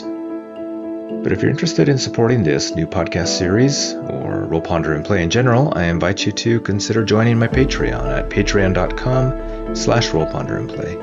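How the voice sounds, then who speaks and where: Low-pitched male podcast narrator. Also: gravelly, highs cut off. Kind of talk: scripted narration.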